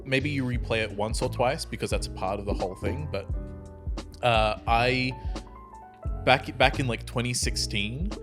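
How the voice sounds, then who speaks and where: A man's voice: medium pitch, normal speed. A man in his twenties chatting casually and telling anecdotes in a rambling way, as a podcast co-host. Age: 20s. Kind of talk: casual group chat. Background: music behind the talk.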